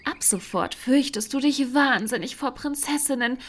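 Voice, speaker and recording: A woman's voice: high voice, normal speed, a bit bright. A woman playing a sly, self-assured young forest witch in a fairy-tale audio play, with a teasing, mocking manner. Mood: gleefully spiteful.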